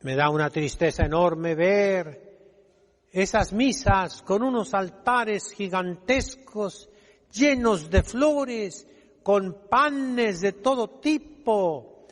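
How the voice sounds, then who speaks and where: Very slow, high-voiced older preacher. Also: male voice, a bit bright. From Mexico. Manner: emphatic, scolding, deliberate with dramatic pauses. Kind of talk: fiery homily, exhorting the congregation. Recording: echoing hall with long reverb.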